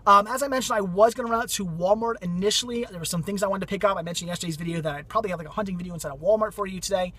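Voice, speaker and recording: A man chats casually about his finds, rambling and upbeat. His voice sits high and sounds bright. He mentions he is losing it to a cold. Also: racing, hardly any pauses, a little hiss or hum. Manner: rambling, enthusiastic, repeats "very cool". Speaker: vlogger showing thrift finds. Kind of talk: casual vlog chat.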